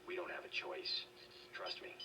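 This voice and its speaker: A man playing a stoic young cyborg government agent in a video game, with deadpan, clipped delivery. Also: unhurried, lightly acted. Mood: flat resolve. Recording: noisy, distant-sounding game capture.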